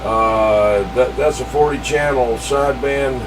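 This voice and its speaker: Clear static and noise surround a man on CB radio. He speaks informally and haltingly in an average-pitched voice, sounding some way from the microphone. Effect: CB radio transmission. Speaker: amateur CB radio operator. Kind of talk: casual chat over CB radio. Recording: noisy CB radio, distant-sounding transmission.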